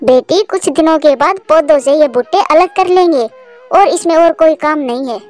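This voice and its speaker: A woman voicing a gentle, hardworking mother bird who speaks patiently in a children's cartoon. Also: sits high, normal speed, soft background music, lightly acted. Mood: patient teaching. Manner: patient, instructive. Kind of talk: Hindi children's cartoon voice acting.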